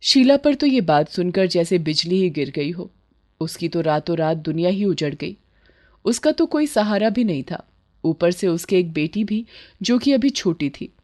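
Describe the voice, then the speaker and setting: A woman's voice: fairly low pitch, lively intonation, normal speed, a little mellow. A woman narrating a scripted moral bedtime story as a storyteller.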